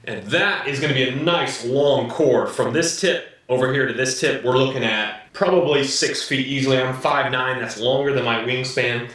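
A man in his thirties, slightly hoarse, casually reviewing and unboxing a gadget at home in an upbeat manner. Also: medium pitch, moderate pace, hardly any pauses, a bit bright. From United States. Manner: upbeat, repeats "very, very cool, guys". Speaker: product reviewer. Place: living room at home. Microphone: camera mic from a short distance. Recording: echoey room, slightly distant mic.

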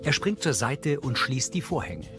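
A man's voice: mid-range voice, big pitch swings, normal speed, music in the background. A man reading scripted audio description in a sober, matter-of-fact way. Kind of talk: scripted audio description narration, present tense.

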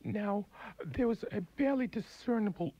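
A man's voice: high voice, normal speed, dark tone. A man hosting a radio interview, asking careful, probing questions about singing technique. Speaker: radio host. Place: radio studio. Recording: dull lo-fi 1980s radio tape.

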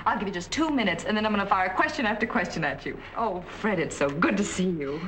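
An actress playing a restless lonely young wife. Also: female voice, medium pitch, expressive, fast, a crowd in the background, natural voice. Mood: excited. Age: young adult. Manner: emotional, pleading. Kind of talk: 1940s film acting. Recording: 1940s film soundtrack with music.

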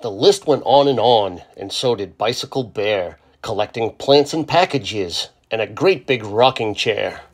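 A man reading a children's picture book aloud in an expressive storytelling style. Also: fairly low pitch, unhurried, few pauses, slightly rough.

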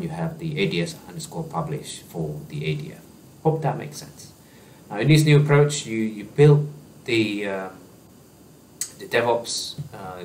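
A man in his thirties giving a screen-share software walkthrough as a tutorial presenter, explaining casually with frequent fillers and restarts from a small room at his desk. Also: sits low, recorded from a distance, slight background noise.

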